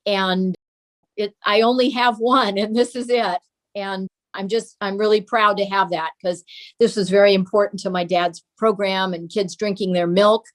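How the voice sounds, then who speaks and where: A woman's voice: mid-range voice, steady tone, normal speed. Older woman, interview guest, conversational and reminiscing. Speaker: guest, daughter of a children's TV show host.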